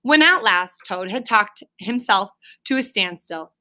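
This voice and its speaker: Bright-voiced woman reading a story aloud. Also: mid-range voice, lively intonation, unhurried. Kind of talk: expressive children's story read-aloud with explanatory asides.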